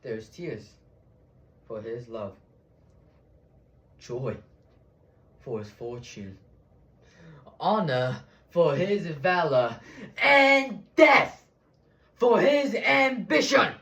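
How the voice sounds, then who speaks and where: A solemn Roman senator , acted by a man, delivers Shakespearean oratory in a slightly high voice. He declaims extremely slowly, with weighty pauses and dramatic swells. The room around him is echoey. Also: animated. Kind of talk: theatrical Shakespearean monologue.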